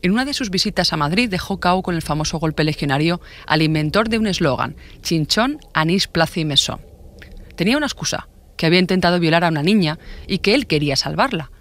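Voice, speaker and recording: A man narrating a scripted true-crime podcast in a measured, formal storytelling manner. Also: a little high, lively intonation, fairly bright tone.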